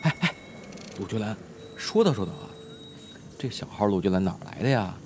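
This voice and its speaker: A man's voice: fairly low pitch, sing-song, a little fast, darkish tone, slight background noise. A male actor playing a brash, foul-mouthed, teasing teenage schoolboy in an audio drama, in slangy exclamations. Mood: bossy, teasing. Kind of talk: Chinese audio drama acting.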